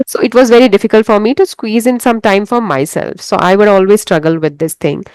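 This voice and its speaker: An Indian woman with an Indian English accent, a Hindi speaker and English teacher, hosting a casual interview in a calm, encouraging way. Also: mid-range voice, fairly quick, clean tone, no room sound. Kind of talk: casual video-call interview.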